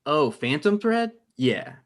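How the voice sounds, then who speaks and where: A woman's voice: sits low, sing-song, slow pace, exaggerated voice. A woman playing a wary, skeptical man in a comedy table read, questioning and reluctant. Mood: puzzled. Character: wary, skeptical man named John, reluctant poison buyer.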